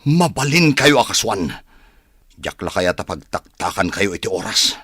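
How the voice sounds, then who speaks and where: A man acting a brash, desperate, scheming rich man who is quick to anger, in an Ilocano radio drama. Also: fairly low pitch, big pitch swings, fairly bright tone, breathy edge, no room sound, clean studio sound, heavily acted. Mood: angry, threatening.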